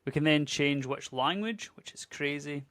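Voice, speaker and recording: A man in his thirties with a Scottish accent, chatting casually and upbeat through a screen-share software tutorial. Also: a little high, normal speed, no echo, studio quality. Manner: chatty, upbeat, conversational with filler words. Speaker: tech YouTuber.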